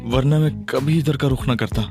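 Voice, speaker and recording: A man's voice: medium pitch, normal speed, vocal fry, music in the background, natural voice. An actor playing a cocky young male detective in an audio drama, teasing and theatrical, also narrating in first person. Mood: pleading. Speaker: Ali Imran.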